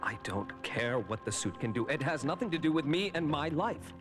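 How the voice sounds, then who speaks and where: A man playing an earnest, reluctant eighteen-year-old hero in an animated series. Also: a little high, moderate pace. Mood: flustered, protesting. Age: young adult. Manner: earnest, expressive. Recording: loud music behind the voice.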